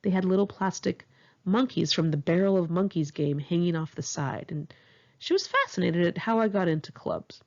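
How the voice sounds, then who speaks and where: A woman's voice: fairly low pitch, expressive, moderate pace, dull audio. A woman reads her own memoir aloud in a candid, wry, conversational way, like a casual audiobook. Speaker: author reading own memoir.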